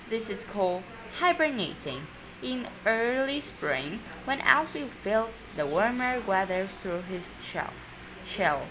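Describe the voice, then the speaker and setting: A woman reading a children's story aloud expressively and deliberately, sometimes stumbling over words. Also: medium pitch, background noise. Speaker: storybook reader.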